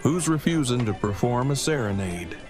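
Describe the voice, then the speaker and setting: A man playing a wordy, theatrical dad with formal, deliberate phrasing in an audio drama. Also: mid-range voice, animated, over music, close to an everyday voice. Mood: mock-solemn.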